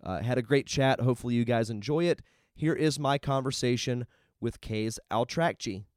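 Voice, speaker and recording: A man's voice: medium pitch, moderate pace, noisy background. A man hosting a podcast, chatting casually with a guest and using frequent fillers. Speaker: podcast host. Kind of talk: casual interview chat.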